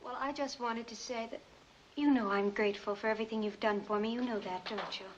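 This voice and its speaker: An actress in an old film playing a young, loyal secretary who speaks softly and hesitantly. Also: female voice, on the high side, steady tone, fairly quick, close to an everyday voice. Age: young adult. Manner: hesitant, soft-spoken. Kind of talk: 1940s film acting. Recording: dull, hissy old film soundtrack.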